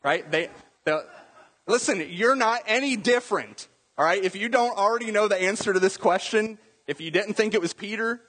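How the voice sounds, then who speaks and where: A man's voice: high voice, normal speed, fairly bright tone, breathy edge, big-room sound. A man preaching a sermon in a church in a conversational teaching manner, quizzing the congregation with questions. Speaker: pastor.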